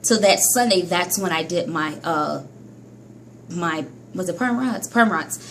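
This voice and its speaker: A woman's voice: animated, a little slow, a little hiss or hum. Chatty woman vlogger scolding herself. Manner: chatty, scolds herself, jumps between high and low pitch. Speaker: hair care vlogger. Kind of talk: casual vlog monologue to viewers.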